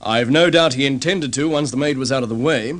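An actor playing a calm, methodical detective sergeant laying out a case in an old radio drama. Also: male voice, medium pitch, lightly acted. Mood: measured. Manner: measured explaining. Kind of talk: vintage radio drama acting.

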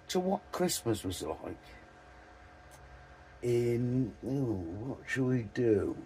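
An older man vlogs in a very husky, mellow voice. He rambles slowly and haltingly, as casual chat to a phone in a small vehicle. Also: medium pitch. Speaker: vlogger. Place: small dim vehicle interior.

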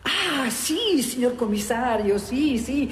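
An actress playing a chatty, obliging building caretaker in a radio drama. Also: female voice, high-pitched, expressive, slow. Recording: echoey room, clear background noise, clicks.

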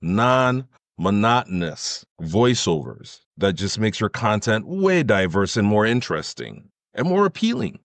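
A middle-aged man explaining software in an enthusiastic, conversational tutorial style. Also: on the low side, dry recording. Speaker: tutorial host.

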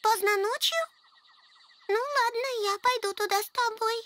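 A woman's voice: very high voice, moderate pace, background noise. A woman voicing a sweet, chatty young female animal in a dubbed children's cartoon. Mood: friendly. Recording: loud music behind the voice.

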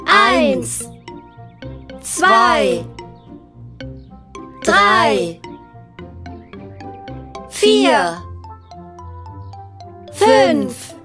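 A woman voicing an eager small child in an educational cartoon, giving deliberate single-word answers. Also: extremely high pitch, very animated, pushed and bright, soft background music, full character voice. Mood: bright, eager.

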